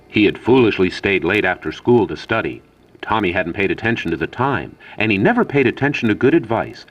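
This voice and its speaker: Animated deep-voiced male storybook narrator. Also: dull audio. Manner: theatrical, mock-earnest storyteller. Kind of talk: scripted narration in the manner of an old children's educational safety film.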